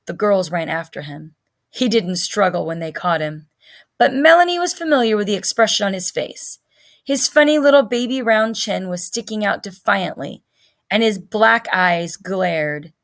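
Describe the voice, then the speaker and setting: A woman's voice: medium pitch, animated, moderate pace, a bit bright. A woman teacher narrating a children's novel as a read-aloud.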